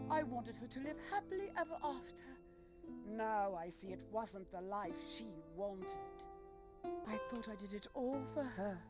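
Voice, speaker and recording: A wealthy, controlling mother in a fantasy game. Her delivery is solemn and deliberate, at a middling pitch, full of possessive devotion. Loud guitar music plays over her. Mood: remorseful, pleading. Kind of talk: fantasy game character acting.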